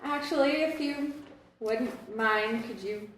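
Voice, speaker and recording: Woman playing flustered ex-girlfriend, high and bright. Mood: awkward, nervous. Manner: halting, nervous, defensive. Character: flustered, awkward young woman meeting her ex. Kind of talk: comedy sketch in old-time radio variety show. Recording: very echoey room, distant, noisy.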